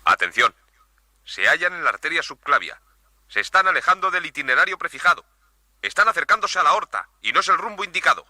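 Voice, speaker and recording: A man's voice: fairly high pitch, very animated, fast talker, sharp tone, exaggerated voice. An actor playing a tense male mission chief in a radio drama, giving clipped, authoritative orders. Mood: commanding.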